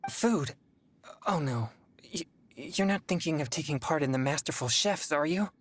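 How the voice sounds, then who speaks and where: A woman's voice: deep voice, expressive, normal speed, slight background noise. A woman playing an earnest, polite, easily worried teenage boy in video game dialogue. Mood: alarmed. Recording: faint music behind the voice.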